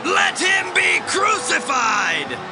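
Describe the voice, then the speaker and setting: An actor playing a jeering, bloodthirsty man in an angry mob, with taunting shouts in a Bible drama. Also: very high-pitched, wildly swooping pitch, slow, exaggerated voice. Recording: shouting crowd and loud music.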